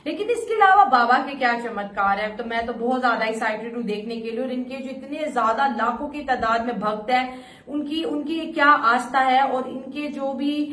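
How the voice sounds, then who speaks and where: A woman's voice: sits high, moderate pace, fairly bright tone. A woman hosting a reaction video in a casual chatty style. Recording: echoey room, distant from mic.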